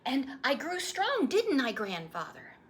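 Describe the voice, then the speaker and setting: A woman's voice: high voice, huge pitch swings, a little slow, voice pushed up, full character voice. A woman voicing an earnest, eager young boy who asks pleading, insistent questions in a storybook read-aloud. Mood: proud, questioning.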